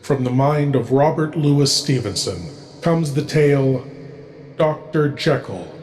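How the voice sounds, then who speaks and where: A man hosting a horror program, giving a slow, hushed and dramatic spooky introduction. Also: medium pitch, expressive, a little hiss or hum. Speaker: horror show host.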